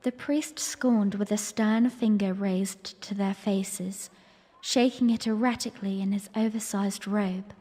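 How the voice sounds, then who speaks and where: A woman's voice: mid-range voice, fairly even intonation, measured pace, warm tone, big-room sound, close to an everyday voice. A woman playing a young princess, narrating her memory in a dramatic first-person audio drama style. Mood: puzzled, storytelling.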